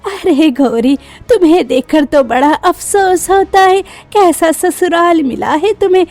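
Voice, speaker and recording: Woman as well-off village neighbour lady, high voice, boastful, taunting, cartoon story. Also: unhurried, a little mellow. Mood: mocking. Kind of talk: Hindi cartoon story character voice.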